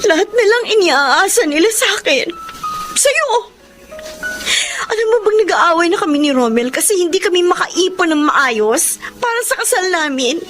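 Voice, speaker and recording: A woman's voice: very high-pitched, very animated, normal speed, fairly bright tone, exaggerated voice. An actress playing a fed-up young eldest daughter who carries her family, emotional and reproachful, in a Filipino melodrama. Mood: hurt, tearful. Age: young adult. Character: fed-up breadwinner eldest daughter, resentful but dutiful. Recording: music behind the voice.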